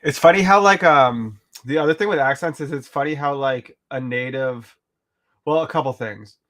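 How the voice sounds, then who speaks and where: A man's voice: medium pitch, normal speed, fairly bright tone. Aussie bloke from rural queensland yapping while he paints, lots of like and um. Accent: Australian. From rural Queensland, Australia. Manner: rambling, full of "like" and "um", swears casually. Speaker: artist vlogger. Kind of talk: casual chat while painting.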